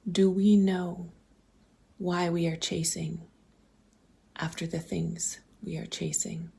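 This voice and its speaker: A woman leading a guided visualization, speaking softly and breathily in gentle, deliberate questions that often slip toward a whisper. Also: fairly low pitch, level tone, creaky. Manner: gentle, deliberate, posing open questions with pauses. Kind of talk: slow guided meditation, reflective questions.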